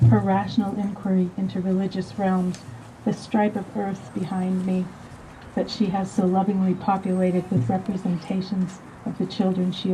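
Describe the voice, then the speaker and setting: A woman officiates an outdoor garden wedding, her voice lyrical and measured as she weaves in poetry and scripture. Her tone is very dark and mellow. She is picked up from a distance, with faint noise hanging in the open air. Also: medium pitch. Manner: lyrical, measured, warm toward the couple. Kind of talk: ceremonial address, poetic readings.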